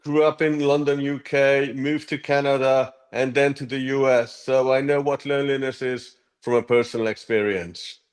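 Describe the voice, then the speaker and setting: Hungarian-born man, mid-pitched, measured interview guest who often restarts his sentences. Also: fairly even intonation. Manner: earnest, measured, with frequent ums and restarts. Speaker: app co-founder, guest. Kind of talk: interview answers.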